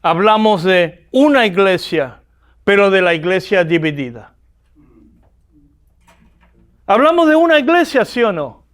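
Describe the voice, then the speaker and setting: A man's voice: high-pitched, big pitch swings, moderate pace, fairly bright tone. A man from Argentina with an Argentine accent, giving a classroom lecture and often asking the students rhetorical questions. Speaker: seminary lecturer.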